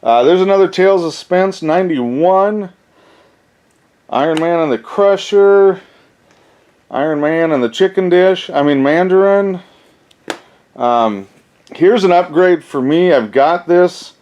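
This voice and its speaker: A man's voice: sits high, animated, measured pace, long pauses, a little mellow, lo-fi sound. A middle-aged man, talking in a folksy, rambling, informal way as he casually shows off collectibles. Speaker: collector and seller showing his flea market finds. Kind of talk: casual show-and-tell vlog.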